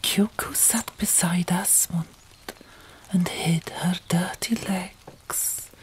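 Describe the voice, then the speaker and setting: Slow whispering woman narrator. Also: on the low side. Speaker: audiobook narrator and author. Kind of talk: whispered ASMR scripted narration.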